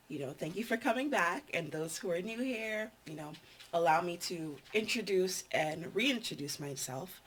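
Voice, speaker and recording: A young adult woman with a nasal voice, chatting casually to her vlog audience in a meandering, filler-filled way. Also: lowish voice. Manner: chatty, meandering, with frequent ums and likes. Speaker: vlogger.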